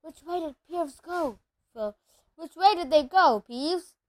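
A woman's voice: extremely high pitch, expressive, takes it slowly, darkish tone, pitched above the performer's own voice, full character voice. A woman voicing an irritable, impatient male school caretaker in a storybook, curt and demanding.

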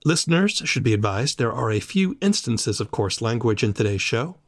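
A man's voice: medium pitch, very animated, moderate pace. A man hosting a podcast, presenting scripted introductions and interviewing a guest.

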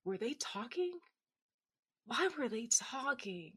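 An actress playing a hot-headed, drunken cyborg fighter, narrating in first person with slurred, sardonic defiance and foul-mouthed bite. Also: female voice, fairly high pitch, big pitch swings, slow, pushed and bright, slightly rough, heavily acted. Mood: groggy, annoyed.

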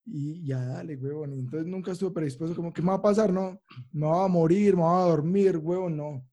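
Dark-voiced Colombian man, slangy. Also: a little high, a little fast. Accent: Colombian Spanish. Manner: slangy, excitable, swears freely. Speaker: podcast co-host. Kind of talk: casual chat over a video call. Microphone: video call.

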